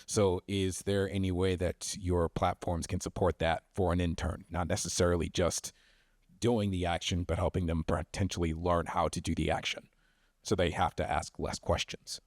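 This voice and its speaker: Low, flat-voiced man asking a question. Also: moderate pace, dry recording, studio quality. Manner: loud, rambling, hesitant with ums. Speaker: audience member asking a question. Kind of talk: audience question at a panel.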